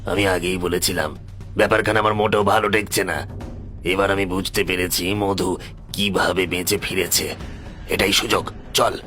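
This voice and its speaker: An actor playing a stern, wary elder village uncle in a horror cartoon, speaking emphatically. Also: male voice, low-pitched, fairly even intonation, moderate pace, sharp tone. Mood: suspicious. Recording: background music throughout.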